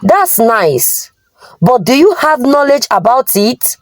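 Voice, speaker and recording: Female narrator as responsible older brother, Nigerian accent, stern, admonishing, deliberate pace, expressive pitch, folktale. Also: no echo. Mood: measured. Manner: admonishing, questioning. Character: stern, responsible elder brother, exasperated but providing.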